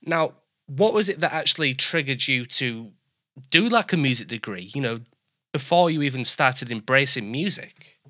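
A man hosting an interview, enthusiastic and chatty, with a naturally high speaking voice. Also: expressive, normal speed, bright, forceful tone. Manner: enthusiastic, self-conscious about his high voice. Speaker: podcast host. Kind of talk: interview conversation.

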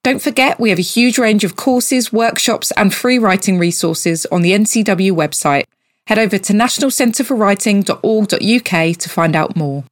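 A woman's voice: mid-range voice, moderate pace, no echo. A woman reading a scripted promotional message as a podcast announcer. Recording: dry studio sound over music. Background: music.

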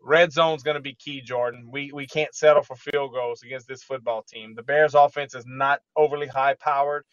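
A man's voice: mid-range voice, steady tone, moderate pace, hardly any pauses, fairly bright tone, dry recording, muffled recording. A man hosting a sports talk segment, chatting casually about a football team and often repeating words as he starts a thought. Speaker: radio sports host.

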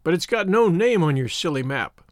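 Man voicing a high, brash English schoolboy. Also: animated, a little fast, no room sound, studio quality, natural voice. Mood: curious, impatient. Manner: brash, dismissive. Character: rude, scornful English schoolboy scout.

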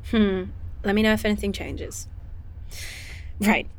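A woman's voice: medium pitch, lightly acted. Woman playing a wry, no-nonsense virologist medic. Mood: matter-of-fact. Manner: dry, brisk, informal. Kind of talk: naturalistic audio drama acting.

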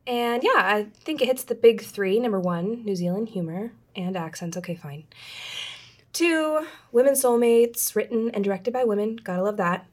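A woman's voice: medium pitch, a little fast, few pauses, a little mellow. Woman hosting a casual podcast. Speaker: podcast host. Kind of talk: casual chat with scripted introduction.

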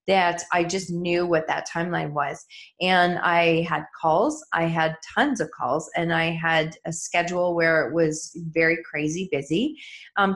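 Candid, earnest middle-aged woman, lowish voice. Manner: candid, earnest, reflective, with soft laughs. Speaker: coach and author. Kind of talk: motivational solo talk to listeners.

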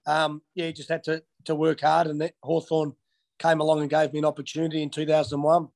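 A man's voice: fairly high pitch, monotone, fairly quick, gravelly, a little mellow. An Australian man from Western Australia in his thirties, answering interview questions casually with many ums and restarts. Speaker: interviewee, former footballer.

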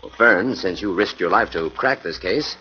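Brief: a man doing an assured detective, very low voice, muffled old radio sound. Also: moderate pace, natural voice. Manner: confident, assured, gently teasing. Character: male detective hero, confident and teasing. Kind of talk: 1940s radio acting. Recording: muffled 1940s radio recording.

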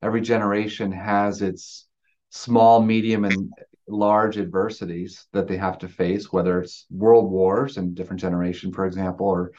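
Male panel guest, low-ish voice, reflective and dry-humored; muffled recording. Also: flat delivery, moderate pace, darkish tone. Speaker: podcast guest. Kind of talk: conversational panel discussion. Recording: dull lo-fi audio.

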